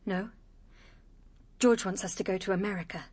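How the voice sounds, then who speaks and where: A woman's voice: medium pitch, fairly even intonation, normal speed, darkish tone, slightly rough, natural voice. An actress playing a meek, polite young woman, hesitant, in a radio drama. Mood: hesitant, subdued. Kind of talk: broadcast radio drama acting.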